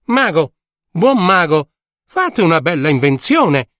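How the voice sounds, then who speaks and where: A man's voice: a little high, big pitch swings, slow, fairly bright tone, no room sound, studio quality, full character voice. A man voicing an eager old royal minister in a fairy tale, courtly, wheedling and inquisitive. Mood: pleading.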